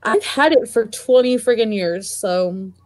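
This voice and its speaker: A woman in her twenties co-hosting a casual podcast, laid-back and unhurried. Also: on the high side, animated, darkish tone. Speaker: podcast co-host. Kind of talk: casual chat.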